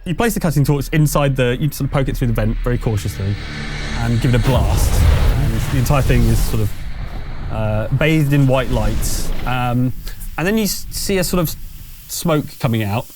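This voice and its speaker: A man runs a tabletop roleplaying game as game master. He narrates conversationally and a little hesitantly, in a mid-pitched voice, with music faintly behind him. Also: expressive, moderate pace.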